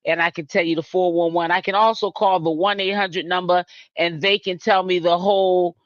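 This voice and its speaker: A man's voice: high voice, steady tone, normal speed, a bit bright, no echo, dull audio. A man from Boston hosting a casual live-stream commentary, chatting straight to his viewers. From Boston, Massachusetts. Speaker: YouTube commentary host.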